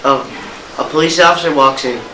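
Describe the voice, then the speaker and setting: A man's voice: a little high, fairly quick. A young man reading scripted narration aloud as an amateur student performer. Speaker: student narrator in a reader's theater. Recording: echoey room with clear noise.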